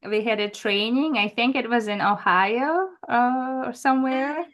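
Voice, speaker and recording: A woman's voice: a little high, measured pace, a bit bright. A woman in her forties who is not a native English speaker, a guest asking a question on a video call, hesitant and full of fillers.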